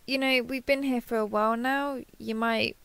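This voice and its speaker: A woman's voice: fairly high pitch, fairly even intonation, normal speed, vocal fry, a bit bright, clean tone, no echo. A woman playing a kindhearted, awkward young woman who gets easily flustered, speaking hesitantly and earnestly in an intimate roleplay audio drama.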